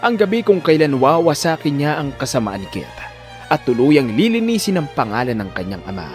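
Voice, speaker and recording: A man's voice: fairly high pitch, big pitch swings, brisk, a little mellow. A man narrating a scripted audio drama in a suspenseful, theatrical manner. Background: music.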